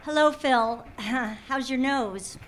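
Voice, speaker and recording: A woman's voice: high-pitched, slow, low-quality recording. A woman voicing a prim young assistant who speaks properly and patiently.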